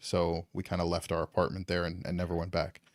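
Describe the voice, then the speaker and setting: Deep voiced guy from canada rambling along, lots of um and like, dry close sound. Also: monotone, brisk, no room sound. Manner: loose and rambling, full of ums and likes. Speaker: guest. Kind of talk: casual conversation.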